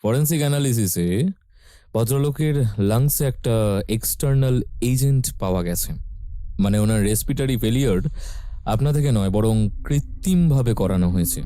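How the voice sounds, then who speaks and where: A man playing a formal, matter-of-fact police sub-inspector in an audio story, official and deliberate with slight hesitations. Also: mid-range voice, mellow, no room sound, natural voice. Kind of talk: audio story character acting.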